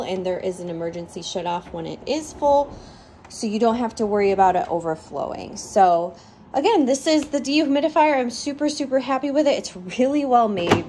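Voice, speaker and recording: A woman's voice: medium pitch, warm tone, a little hiss or hum. A woman giving a casual, upbeat product review on a phone, with lots of ums while she talks at home. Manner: chatty, upbeat, frequent ums. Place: living room, indoors. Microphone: phone held over the device.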